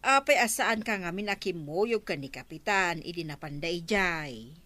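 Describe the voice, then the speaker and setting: Insistent village councillor, Ilocano accent, bright animated radio-drama delivery. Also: female voice, on the low side, moderate pace, no echo.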